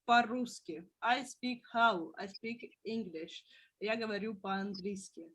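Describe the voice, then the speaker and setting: A woman's voice: mid-range voice, slow, fairly bright tone, husky. A woman teaching a beginner language class, patiently explaining words one at a time.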